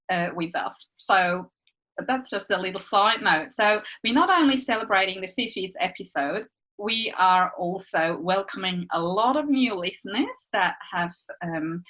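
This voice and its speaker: A middle-aged woman from Switzerland with a Swiss accent, co-hosting a podcast in relaxed, chatty talk that often breaks into laughter. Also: medium pitch, poor audio. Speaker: podcast co-host. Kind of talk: casual podcast chat.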